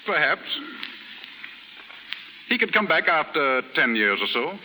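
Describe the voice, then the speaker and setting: An actor playing a busy, paternal author in a 1930s radio drama, measured, fond and gently correcting. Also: male voice, on the high side, sing-song, heavily acted. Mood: fond, amused. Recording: hissy 1930s radio recording, reverberant stage.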